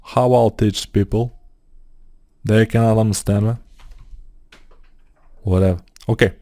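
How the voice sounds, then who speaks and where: A man's voice: lowish voice, fairly even intonation, unhurried, dark tone, no room sound. A man with a Bulgarian accent, a non-native English speaker, talking through a 3D modeling tutorial as he works, thinking aloud with hesitant fillers. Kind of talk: screen-recorded software tutorial.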